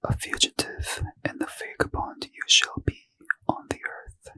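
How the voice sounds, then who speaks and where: A man whispers scripture for a bedtime ASMR session, unhurried and breathy with no voice at all. The sound is bone-dry and crisp, placed close to the microphone, with a bright hiss on every consonant. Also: dry recording, rough recording. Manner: slow soft whisper, unvoiced throughout. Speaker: reader. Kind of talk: whispered ASMR Bible reading. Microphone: close mic.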